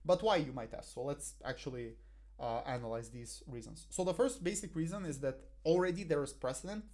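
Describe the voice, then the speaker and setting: A man's voice: a little high, a little fast, a little mellow. A young man, talking through a debate motion in a hesitant way full of fillers and restarts, on a headset in a small room. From Bulgaria. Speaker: debate coach. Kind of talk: explaining a debate motion over slides.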